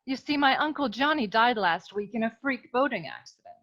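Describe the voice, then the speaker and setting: A woman's voice: a little high, lively intonation, a little fast, a bit bright. A woman playing a distressed, earnest dame pleading with a detective in a comic noir radio serial. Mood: worried, earnest. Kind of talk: parody noir radio drama acting. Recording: dull, muffled lo-fi audio.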